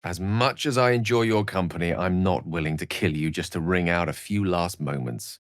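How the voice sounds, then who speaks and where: A man's voice: low voice, moderate pace, no echo, studio quality, natural voice. A man playing an erudite, witty wizard in a fantasy video game, speaking with eloquent, wry and courteous theatricality. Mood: grave, measured.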